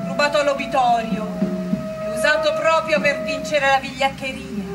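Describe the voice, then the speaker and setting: A woman acting as a grim storyteller, narrating history in a dramatic, drawn-out theatrical style. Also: sits high, steady tone, natural voice. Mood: grim, suspenseful. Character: grim female storyteller foretelling a murder. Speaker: actress and narrator. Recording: voice over loud music.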